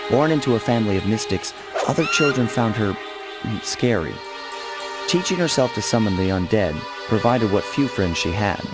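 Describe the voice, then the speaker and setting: A man's voice: lowish voice, lively intonation, moderate pace, over loud music, natural voice. An actor playing a cocky young male raccoon master thief in a video game, with a laid-back, wry delivery. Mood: narrating. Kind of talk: video game narration and dialogue.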